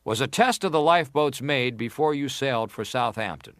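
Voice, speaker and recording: An actor playing a formal, insistent senator who questions a witness deliberately in a dramatised hearing. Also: male voice, medium pitch, a bit bright, no echo, clean studio sound, lightly acted. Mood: inquisitive, stern. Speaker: actor reading an examining senator. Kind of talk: dramatised reading of hearing testimony.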